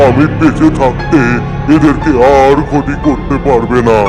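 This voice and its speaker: A man's voice: medium pitch, fairly even intonation, moderate pace, lightly acted. A man voicing a loyal caretaker who shields the guests, speaking in a pleading, protective way. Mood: defiant. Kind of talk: dubbed cartoon acting. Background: loud music.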